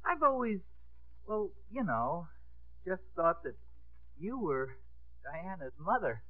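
Awkward teen boy, halting, very swoopy delivery, phone-like 1950s radio recording. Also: high voice, sing-song, exaggerated voice. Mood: bashful. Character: awkward, bashful, slow-talking teenage boy. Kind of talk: 1950s radio comedy-drama acting. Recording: muffled narrow-band old radio recording.

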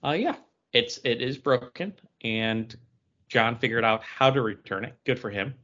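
A man's voice: mid-range voice, normal speed, bright, forceful tone, dull audio. A man co-hosting a podcast, explaining things in casual conversation. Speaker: podcast co-host.

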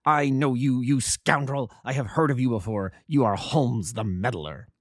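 A man's voice: medium pitch, moderate pace, no room sound, studio quality, lightly acted. A man voicing a furious, menacing old doctor, a huge bully who snarls and blusters his demands in an audiobook story.

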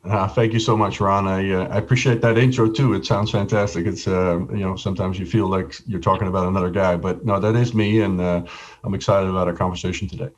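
A middle-aged man from the Netherlands, a podcast guest telling his life story in a relaxed way and often repeating words as he starts a sentence. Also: low voice, fast, slight background noise. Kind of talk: casual interview storytelling.

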